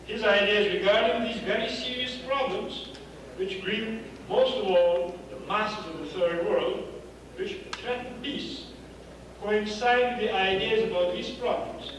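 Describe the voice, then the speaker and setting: High-voiced man reading to an echoey hall. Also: measured pace, fairly bright tone. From Guyana. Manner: wry, joking asides to the audience. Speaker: conference speaker reading messages. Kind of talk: formal address, reading letters aloud. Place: conference hall. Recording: echoey hall, distant mic, noisy.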